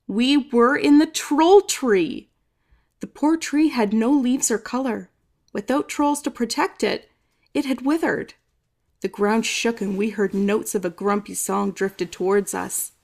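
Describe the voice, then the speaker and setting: A woman reading a children's story aloud expressively, with dramatic emphasis and some drawn-out words. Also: on the high side, creaky, darkish tone. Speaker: storybook reader. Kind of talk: expressive children's read-aloud, narrating in first person.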